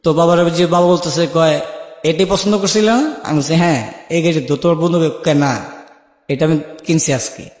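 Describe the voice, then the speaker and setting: Man, Bangladeshi accent, slightly high voice, conversational storytelling with re-enacted dialogue, reverberant recording. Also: normal speed. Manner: acts out remembered dialogue while narrating. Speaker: guest telling their life story. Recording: echoey with long reverb.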